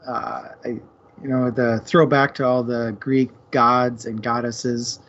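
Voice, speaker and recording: A man's voice: medium pitch, flat delivery, slow pace, a bit bright. A man chatting casually and playfully as co-host of a book discussion show. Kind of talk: casual chat. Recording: dull, muffled lo-fi audio.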